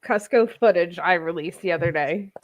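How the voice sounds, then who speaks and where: A woman's voice: on the low side, normal speed, a little hiss or hum. A woman in her twenties co-hosting a podcast, joking and teasing in loose banter. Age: 20s. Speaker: podcast co-host. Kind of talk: casual banter.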